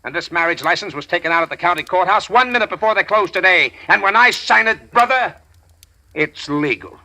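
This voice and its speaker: An actor playing a bold, emphatic preacher in a 1940s radio drama. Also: male voice, high voice, lively intonation, a little fast, sharp tone, full character voice. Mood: defiant. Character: brash middle-aged male preacher. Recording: old radio broadcast with music.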